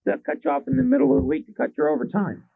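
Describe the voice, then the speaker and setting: A man's voice: very high-pitched, very animated, racing, vocal fry, slightly rough, no echo, narrow, tinny audio. A man hosting a public access call-in show, chatting casually with crude, joking banter. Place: small public access TV studio.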